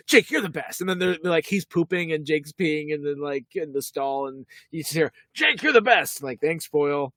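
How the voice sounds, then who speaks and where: A man co-hosting a casual podcast about a TV episode, animated and slipping into quick character voices as he retells scenes. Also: high voice, dry recording, clean studio sound. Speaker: podcast co-host.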